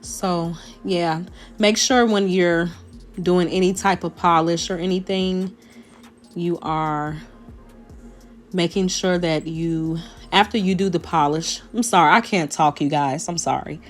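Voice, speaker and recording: Woman, lowish raspy voice, slow rambling nail-tutorial voice-over over faint music. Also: steady tone. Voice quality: naturally deep voice. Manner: chatty, rambling, addresses viewers directly. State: raspy after a sinus infection.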